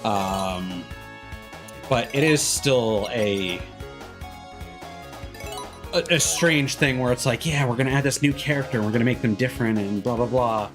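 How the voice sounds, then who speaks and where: A man with a mid-pitched voice talks through a video game as he streams it. His commentary is casual and rambling, hesitating and wandering into asides. Faint game music plays behind him. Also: a little slow, long pauses.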